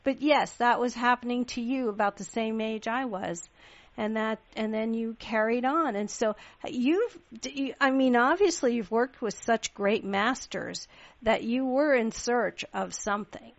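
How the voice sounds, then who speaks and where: A woman's voice: a little high, a little slow, no echo. Chatty woman radio host, lo-fi. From Pakistan. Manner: chatty, affirming listener. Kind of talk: interview.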